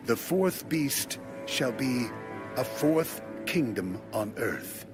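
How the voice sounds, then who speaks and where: A man narrating an audio Bible in a solemn, dramatic, deliberate way. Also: mid-range voice, lively intonation. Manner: measured, deliberate delivery. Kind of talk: solemn dramatic scripture reading. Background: music bed.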